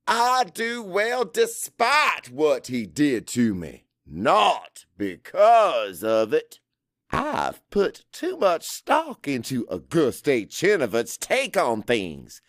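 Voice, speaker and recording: A man reading dramatic first-person audiobook narration in a brooding, bitter, deliberate manner. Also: medium pitch, wildly swooping pitch, a bit bright, no echo, clean studio sound.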